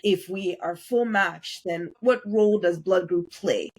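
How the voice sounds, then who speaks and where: Husky low-voiced female podcast host. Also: a little slow, a little mellow. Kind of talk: conversational interviewing.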